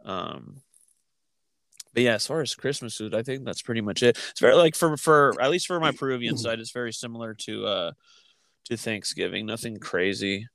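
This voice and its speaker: A man with a smooth, resonant voice talks casually, recorded very dry. He co-hosts a chat and often halts and restarts as he thinks aloud. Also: mid-range voice, lively intonation, moderate pace, fairly bright tone, no room sound. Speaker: podcast co-host.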